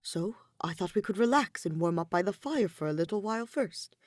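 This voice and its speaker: A woman voicing an earnest, tender young man in love, speaking with gentle formality in a story reading. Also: medium pitch, lively intonation, brisk, dry recording, lightly acted. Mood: gentle, reassuring. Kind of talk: audiobook-style fan fiction character reading.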